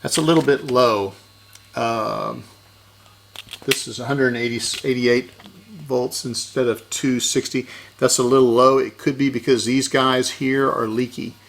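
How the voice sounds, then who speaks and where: A man's voice: mid-range voice, steady tone, unhurried, creaky, fairly bright tone, slight background noise. A man giving unscripted hands-on repair commentary, thinking aloud methodically and correcting himself as he goes. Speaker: electronics repair host.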